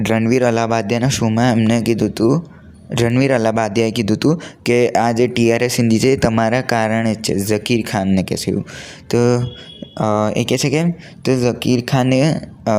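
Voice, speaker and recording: A man's voice: mid-range voice, a little mellow, smooth. A teenage male vlogger with a Gujarati accent gives an unscripted, earnest pep talk in colloquial speech mixed with English words. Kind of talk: unscripted casual motivational talk to camera.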